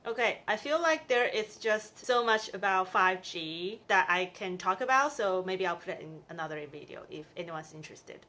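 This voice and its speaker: A woman's voice: medium pitch, lively intonation, normal speed, hardly any pauses, bright, forceful tone. A woman in her thirties from Taiwan, talking chattily through her monthly costs in a vlog. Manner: chatty, upbeat, itemizing costs. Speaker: vlogger. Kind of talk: casual explainer vlog.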